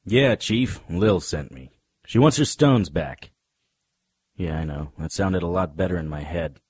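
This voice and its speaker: An actor playing a wry, deadpan, hardboiled supernatural private investigator narrating a noir radio drama in a husky voice. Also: male voice, low-pitched, a little slow, exaggerated voice. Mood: grim, wry. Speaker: narrator and lead.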